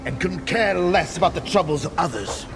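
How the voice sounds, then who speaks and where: A man's voice: high voice, lively intonation, normal speed, loud music behind the voice. A man playing a wry, dreamy rebel leader in a video game, with a musing, storyteller-like delivery. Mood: calm, teasing. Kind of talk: dramatic video game cutscene acting.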